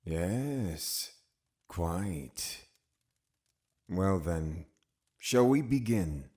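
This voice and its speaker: An actor playing a stern, scheming older magistrate, pious and condescending, in a period audio drama. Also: male voice, low-pitched, animated, extremely slow, darkish tone, full character voice. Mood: curt, satisfied. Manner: formal, commanding, condescending.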